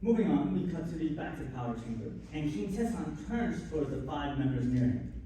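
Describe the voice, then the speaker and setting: A man's voice: on the high side, expressive, brisk, mellow. A man reading scripted scene narration for a live radio play. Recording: echoey noisy convention hall, distant mic.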